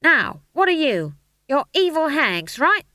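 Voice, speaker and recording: Woman as shrill, barking dwarf stage manager Howell. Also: big pitch swings, extremely slow, dry recording, studio quality, full character voice. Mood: commanding. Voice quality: strained yelling. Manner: barking, drill-sergeant urgency. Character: excitable, commanding male dwarf stage manager, exasperated. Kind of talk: audiobook character voice.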